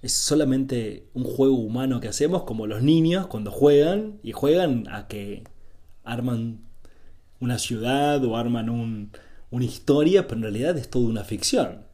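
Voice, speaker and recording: A man's voice: mid-range voice, animated, moderate pace, mellow. A man from Argentina with an Argentine accent giving an informal spiritual talk as a teacher, in a didactic way with repeated phrases and short rhetorical check-ins.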